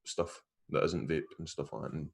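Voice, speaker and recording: Scottish male guest, deep voice, loose rambling delivery over a video call. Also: steady tone, moderate pace, gravelly. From Scotland. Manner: rambling, restarts often. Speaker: guest vape reviewer. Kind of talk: casual chat.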